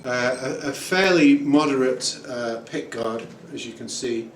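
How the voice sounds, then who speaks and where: A man in his forties giving a relaxed home guitar review, rambling with many ums and uhs. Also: mid-range voice, measured pace. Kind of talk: casual product review. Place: small room at home, indoors. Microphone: camera mic at a distance. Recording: roomy, distant camera audio with noise. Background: acoustic guitar playing.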